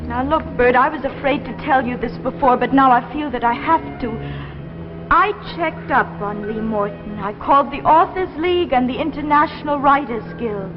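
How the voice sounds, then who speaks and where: Woman acting a high-voiced, firm, worried radio wife. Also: moderate pace, over music, natural voice. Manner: earnest, firm, pleading. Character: worried, firm wife giving her husband an ultimatum. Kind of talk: 1950s radio drama acting. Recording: dull old radio recording.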